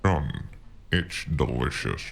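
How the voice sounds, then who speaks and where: Very deep, drawling gentleman spy. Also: big pitch swings, vocal fry, husky, full character voice. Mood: smug, savoring. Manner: pompous, theatrical, drawn-out flowery phrasing. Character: genteel, eccentric, teasing gentleman spy in a dive bar. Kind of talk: noir audio drama acting. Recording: guitar music behind the voice. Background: plucked guitar music.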